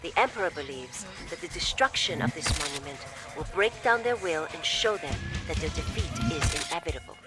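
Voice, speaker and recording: Poised imperial briefing officer over music. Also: female voice, sits low, expressive. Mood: formal, resolute. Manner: formal, measured, deferential. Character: poised, devoted female imperial logistics officer briefing commanders. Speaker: military intelligence and logistics officer. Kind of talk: scripted video game cutscene briefing. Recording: loud music behind the voice.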